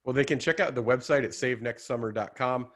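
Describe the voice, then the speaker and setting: Brief: man, camp director guest, sincere and thoughtful, mid pitch. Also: fairly quick, fairly bright tone. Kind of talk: interview answer.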